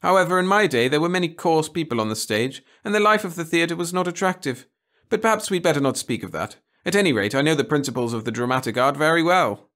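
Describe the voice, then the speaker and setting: Man as older lady teacher, storybook character, prim, gushing, raised pitch, genteel. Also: animated, quick pace, a bit bright. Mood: prim, proud. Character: genteel, modest older woman schoolteacher with theatrical pretensions.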